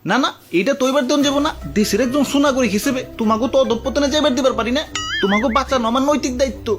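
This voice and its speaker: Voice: sits high, racing, lightly acted. A performer voicing a cranky, comical old grandpa in a comedy cartoon, exclaiming and grumbling. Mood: complaining. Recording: music behind the voice. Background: music.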